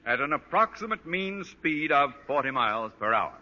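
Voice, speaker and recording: An actor playing a pompous, hot-tempered middle-aged school principal who sputters when outraged, in a 1940s radio sitcom style. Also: male voice, on the high side, very animated, normal speed. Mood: pompous, commanding. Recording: vintage radio, dull, reverberant, hissy.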